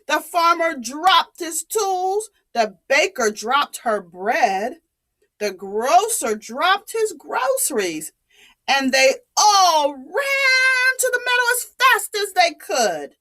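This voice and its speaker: A woman telling a story to young children in a dramatic, expressive, deliberate way. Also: very high voice.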